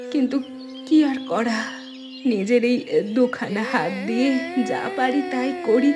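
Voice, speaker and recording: A woman's voice: fairly high pitch, close to an everyday voice. A woman playing a weary, long-suffering poor village mother in a melodramatic cartoon, plaintive and tender in manner. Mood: sorrowful. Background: loud music.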